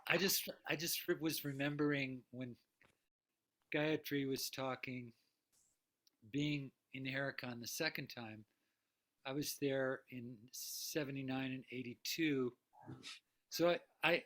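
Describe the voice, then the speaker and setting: A man slowly recalling his past on a video call, hesitating and restarting often. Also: medium pitch, fairly bright tone. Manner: slow, hesitant, with many restarts. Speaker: satsang participant. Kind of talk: personal recollection on a video call.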